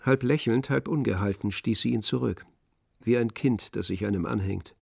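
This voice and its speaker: A man narrating a literary radio drama in a measured storytelling manner. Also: lowish voice, creaky, darkish tone, dry recording, studio quality.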